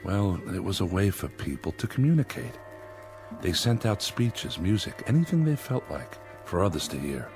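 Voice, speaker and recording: An actor playing a weary, stubborn leader of hungry survivors, firm and commanding, in a dramatic radio play. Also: male voice, sits low, big pitch swings, normal speed, a little mellow. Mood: wistful. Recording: dramatic music behind the voice.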